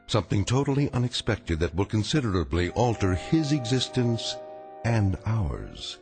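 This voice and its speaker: An actor as the wry, ominous narrator of an eerie radio drama, speaking in a measured, theatrical way. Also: male voice, fairly low pitch, very animated, darkish tone, faint music behind. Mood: wry, portentous.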